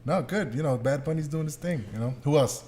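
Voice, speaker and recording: A man's voice: on the high side, fairly quick, dark tone, a little hiss or hum. A man hosting a podcast in a casual, slangy, profane way, chatting and interviewing. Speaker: podcast host.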